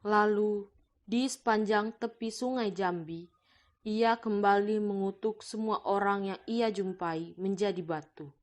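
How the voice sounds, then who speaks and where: A woman's voice: medium pitch, unhurried. Female narrator for a cartoon folktale; mid-range voice, scripted storytelling read.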